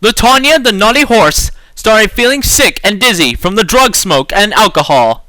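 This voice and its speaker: A man's voice: high-pitched, expressive, normal speed, bright, forceful tone, dry recording, low-quality recording. A man giving an exaggerated, theatrical dramatic reading of a children's storybook. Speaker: amateur reader.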